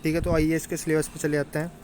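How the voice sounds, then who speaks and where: A man's voice: fairly high pitch, monotone, fast, a little mellow, a little hiss or hum. A young man informally explaining to students as an exam tutor, colloquial and often checking that they follow. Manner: colloquial, repeatedly addresses listeners as brother, checks understanding.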